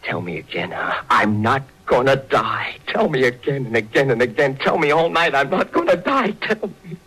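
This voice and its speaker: A man's voice: medium pitch, sing-song, moderate pace, a bit bright, breathy edge. An American actor playing a doomed, slangy tough-guy killer who is cracking up with fear in a 1940s radio thriller. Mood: desperate, pleading. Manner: slangy, jittery, emphatic repeating of phrases. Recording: vintage 1940s radio recording.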